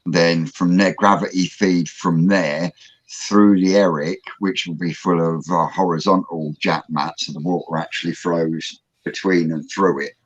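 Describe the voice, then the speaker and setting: Male host, deep register, chatty and meandering, muffled call audio. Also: fairly even intonation, a little slow, husky, dry recording. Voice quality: rambling, technical explanations with many fillers. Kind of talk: casual livestream chat. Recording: dull lo-fi audio.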